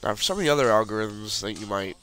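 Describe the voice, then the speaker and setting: A man's voice: on the low side, animated, fast talker, pushed and bright, soft background music. A man with the flu, sounding congested, casually talking through a puzzle tutorial over a screen recording. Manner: casual, walks through steps while clicking. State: has the flu, congested and sniffling.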